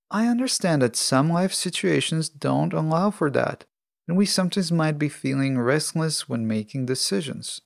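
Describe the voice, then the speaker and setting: A man hosting a self-help podcast alone, speaking in measured, deliberate phrases. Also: fairly high pitch, gravelly, no room sound, studio quality. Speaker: podcast host.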